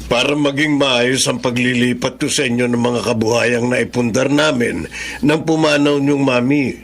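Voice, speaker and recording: A man playing an aging, principled and loving father in a Filipino radio drama, with a gravelly voice. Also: mid-range voice, steady tone, moderate pace. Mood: earnest.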